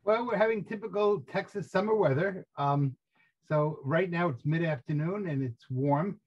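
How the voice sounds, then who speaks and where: A man's voice: fairly high pitch, moderate pace, breathy edge. An older man with a New Jersey accent, co-hosting over a video call from home and telling joking stories in casual chat. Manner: joking storyteller. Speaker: co-host. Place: home in Texas. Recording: dull lo-fi call audio.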